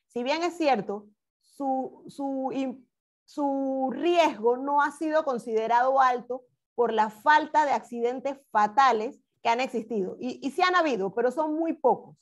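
A woman with a high voice giving formal opening remarks at a webinar. She speaks earnestly and sometimes restarts a phrase. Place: remote webinar.

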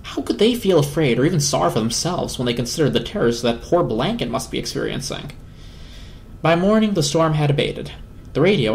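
A man's voice: medium pitch, gravelly, a little hiss or hum. A man narrating a children's story as a dramatic reading.

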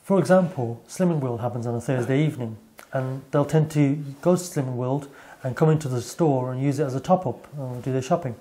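A man in his thirties, a shop owner, calmly explaining his store during an on-site interview, with many fillers. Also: mid-range voice, brisk, hardly any pauses, breathy edge. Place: shop and community hall, indoors.

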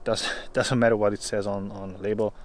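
Man, thirties, low voice, casual hesitant vlog talk, outdoors in woods, faint noise. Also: flat delivery, fairly quick. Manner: hesitant, many filler uhs. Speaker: vlogger.

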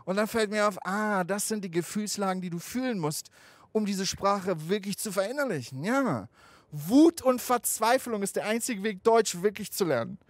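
A man in his thirties who grew up in Germany, doing a stand-up comedy set on an outdoor rooftop stage into a handheld mic. He tells stories in an animated, conversational way and swears freely. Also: high-pitched, moderate pace, no echo. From Afghanistan, raised in Germany.

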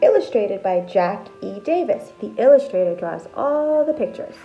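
A woman in her thirties reading a picture book aloud to children like a teacher, expressive and deliberate. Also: medium pitch, dull audio, soft background music. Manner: animated, deliberate, explains terms simply. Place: small decorated room at home, indoors.

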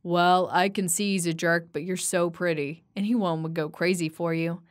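A woman voicing a protective teenage boy who is the narrator's love interest, in a slightly lower voice than her narration. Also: steady tone, moderate pace, natural voice. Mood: gentle.

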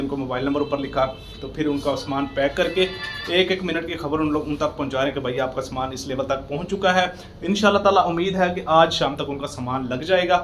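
A young man from Pakistan, filming himself on a phone at arm's length in a small workshop. He gives an earnest, repetitive sales pitch with many fillers. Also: slight background noise. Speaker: small business owner promoting machines. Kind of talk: selfie sales vlog. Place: small workshop and shop indoors. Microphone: phone held at arm's length.

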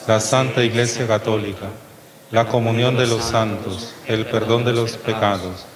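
Solemn bishop in a reverberant chapel. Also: male voice, on the low side, fairly even intonation, crowd noise. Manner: measured, solemn delivery. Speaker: bishop presiding at Mass. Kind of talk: homily and liturgical prayers. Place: chapel with altar, indoors. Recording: long chapel reverb, faint noise.

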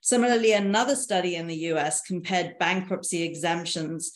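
A woman in her thirties presenting slides on a video call, in a measured, explanatory way. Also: lowish voice. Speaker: panelist presenting on insolvency reform. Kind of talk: presentation over shared slides. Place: indoor room, video call. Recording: echoey room on a video call.

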